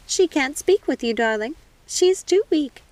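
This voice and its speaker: Woman as a storybook witch mother, gentle, soothing, raised pitch, tender, reassuring. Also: animated, unhurried, dry recording. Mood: tender, protective.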